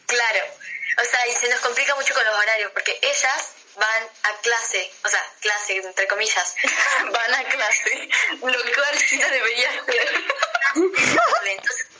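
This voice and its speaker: A young Argentine woman with a high, piercingly bright voice chats playfully as a radio co-host. Her delivery is casual and conversational, over faint background noise. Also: unhurried, rough recording. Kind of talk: casual chat.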